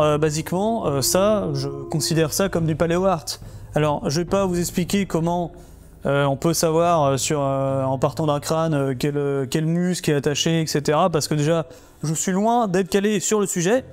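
A man's voice: a little high, a little mellow. A man in his thirties hosting a casual explainer vlog from a small room full of fossils, chatty and joking, with plenty of fillers and restarts. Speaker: YouTube host.

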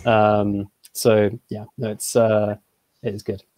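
Low-voiced English man on a video call. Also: slow pace, no room sound. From England (Surrey). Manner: relaxed, hesitant, many fillers and restarts. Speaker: distillery brand ambassador. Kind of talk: presenting in an online tasting. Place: small home room.

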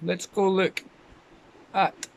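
Slow-talking young man in a cave. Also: high voice, little pitch movement, creaky. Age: young adult. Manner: dramatic, hushed storytelling with loud exclamations. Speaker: vlogger. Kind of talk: excitable travel vlog. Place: rocky seashore and dark sea cave. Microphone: handheld selfie camera.

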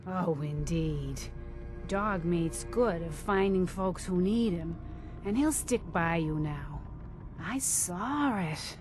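Frail, mystical old woman for a game, unhurried folksy drawn-out delivery, music behind. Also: on the low side, animated. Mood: fond. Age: older. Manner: slow, halting, folksy. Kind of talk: game voice acting.

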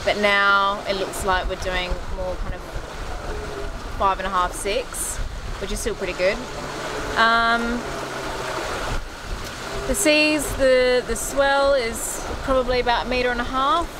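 A woman's voice: on the high side, steady tone, slow, pauses often. A woman in her thirties chatting candidly and casually to camera as a sailing vlogger. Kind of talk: casual vlog talk to camera. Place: outdoors in the cockpit of a sailing yacht at sea. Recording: loud sea and boat noise.

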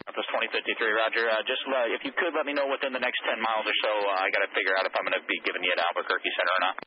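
A man speaking as an air traffic controller over the radio, routine and rapid with fillers. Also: lowish voice, steady tone. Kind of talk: radio exchanges with pilots. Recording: noisy lo-fi radio transmission.

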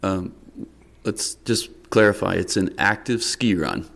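A middle-aged man, a town council member, questioning a business owner at a public work session, measured and repeating words as he presses his point. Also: low-pitched, animated, creaky, a bit bright. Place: town council meeting room, indoors. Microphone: desk microphone.

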